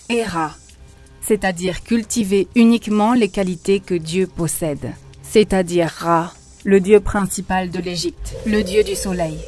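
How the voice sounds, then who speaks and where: A woman voicing a calm, wise woman who teaches an old man about spiritual culture. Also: medium pitch, close to an everyday voice. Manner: calm, instructive.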